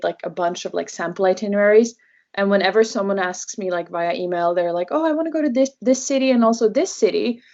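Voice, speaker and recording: A woman's voice: medium pitch, a little mellow. Dull-sounding recording, young Norwegian-born woman. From Norway. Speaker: guest, travel blogger. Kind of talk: conversational interview answers.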